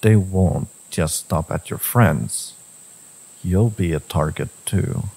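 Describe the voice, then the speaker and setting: A man voicing a brooding, devoted fantasy villain in a romance audio roleplay, speaking deliberately in a low, gravelly, husky voice. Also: darkish tone, heavily acted. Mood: serious, intimate. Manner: slow, deliberate, with pauses and sighs. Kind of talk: dramatic second-person romance audio roleplay.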